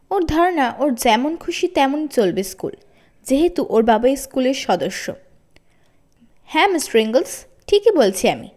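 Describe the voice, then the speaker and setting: A woman's voice: high voice, dark tone. A woman storyteller voicing a stern, indignant, spiteful woman schoolteacher with an emphatic, scolding manner.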